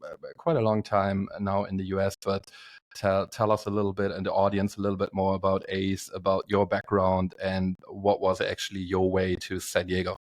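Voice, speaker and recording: Hesitant German-accented host, low voice. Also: male voice, monotone, normal speed, no room sound. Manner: hesitant, many fillers, broken English. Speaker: podcast host. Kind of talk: casual interview.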